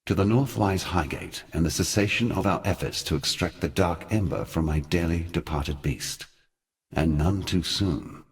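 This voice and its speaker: An actor playing an ancient, brooding god in a fantasy game, speaking in solemn, ornate and deliberate phrases like a theatrical monologue. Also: male voice, extremely low pitch. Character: ancient grave god, former lover of gods, brooding and eloquent.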